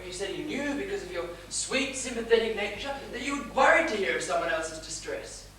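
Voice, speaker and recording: A woman's voice: medium pitch, expressive, brisk, crowd noise, close to an everyday voice. A woman playing a mild, guileless, chatty husband in a stage period comedy, earnestly recounting and theatrically quoting. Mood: sympathetic reporting. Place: theatre stage, recorded from the audience. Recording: echoey theatre, distant mic, faint noise.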